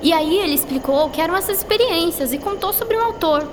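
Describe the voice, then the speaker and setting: A woman's voice: very high voice, expressive, fast talker, noisy background. A woman acting in a radio drama as a curious, chatty teenage schoolgirl with a Brazilian accent, speaking in a youthful, colloquial way. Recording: long reverb, loud music behind.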